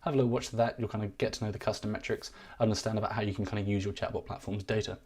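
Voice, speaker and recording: A man giving a live-streamed software walkthrough, rambling and self-deprecating, his voice wearing thin. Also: fairly low pitch, steady tone, very fast, husky. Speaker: software demo presenter.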